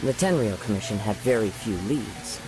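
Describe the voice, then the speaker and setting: An actor playing a humble young wandering samurai in a video game, polite, composed and measured. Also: male voice, on the low side, animated, lightly acted, rough recording. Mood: calm concern. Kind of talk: video game dialogue acting. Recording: game audio with loud background music.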